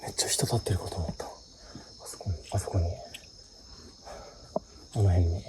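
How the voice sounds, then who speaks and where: A man's voice: deep voice, fairly even intonation, normal speed. A man hosting a ghost hunt with casual on-location chat, recorded outdoors at night. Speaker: host of a ghost-hunting video, leads the exploration. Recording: very noisy outdoor recording, insects, clicks. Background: loud crickets, insects, clicking.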